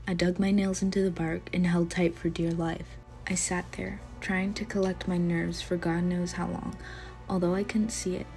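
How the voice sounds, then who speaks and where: Low, flat-voiced woman with a cough. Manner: casual, chatty reading with fillers. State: getting over a cough. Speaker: storyteller. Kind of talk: reading a horror story aloud. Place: at home.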